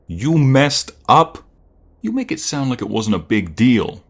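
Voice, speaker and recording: A man playing a young, protective, hot-tempered mobster in an audio roleplay, gravelly and menacing, with a soft side for his sweetheart. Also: mid-range voice, very animated, a little slow. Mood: outraged.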